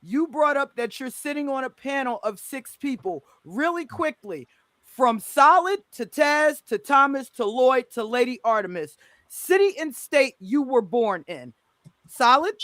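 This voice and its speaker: Forceful debate moderator, dry sound. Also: high voice, animated, measured pace, no room sound. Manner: forceful, emphatic, repeats points. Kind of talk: heated panel debate.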